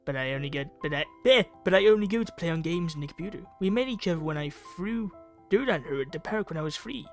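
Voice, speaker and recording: A man's voice: on the high side, expressive, fast, soft background music. A man reading a visual novel aloud in a theatrical way, dropping into offhand asides. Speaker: video game player reading aloud. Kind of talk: ASMR-style visual novel read-through with asides.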